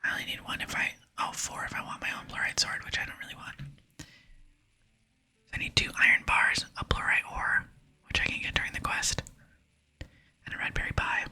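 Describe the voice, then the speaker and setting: An ASMR gaming host whispering casual commentary, softly murmuring thoughts aloud while playing. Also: a little fast, long pauses. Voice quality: soft breathy whisper. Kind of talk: ASMR gameplay commentary, reading dialogue aloud.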